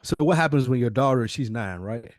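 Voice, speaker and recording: A man's voice: medium pitch, animated, fairly quick, no echo, studio quality. A man co-hosting a podcast in casual chat, thinking aloud reflectively and circling back over his words. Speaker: podcast co-host.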